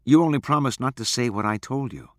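A man's voice: medium pitch, fast, no echo, studio quality, pitched above the performer's own voice, close to an everyday voice. A man voicing a practical, devoted teenage girl in an audiobook, scolding and insistent in her manner. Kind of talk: audiobook character acting.

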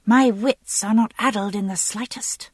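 A spoilt aristocratic teenage girl performed by a woman narrator for an audiobook. She is imperious and petulant, pushing her demands in a raised pitch that swoops freely. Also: big pitch swings, moderate pace, dry recording, clean studio sound. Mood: defiant.

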